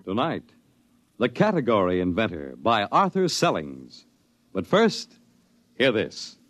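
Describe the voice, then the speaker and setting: A man's voice: lowish voice, wildly swooping pitch, unhurried, a bit bright. A man announcing a 1950s science fiction radio show in a dramatic, declamatory style.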